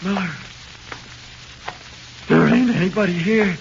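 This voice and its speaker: A man's voice: high voice, a little slow. An actor playing a haunted, nervy small-time crook who talks in plain, ungrammatical tough-guy slang, in 1940s radio drama. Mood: frantic pleading. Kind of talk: 1940s radio drama acting, first-person narration. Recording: muffled, noisy 1940s radio recording.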